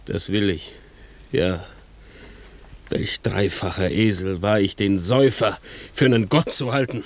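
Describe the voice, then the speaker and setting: A man playing a fearful, servile island monster in a classic radio play, with a rough voice and a cowering, grumbling manner. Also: lowish voice, a little slow, dry recording. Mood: grumbling, contrite.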